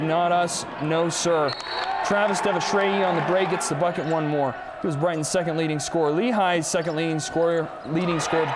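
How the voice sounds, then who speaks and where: Young man, American, high voice, punchy sports highlight voice-over, noisy backdrop. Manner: energetic, punchy play-by-play delivery. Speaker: sports reporter intern.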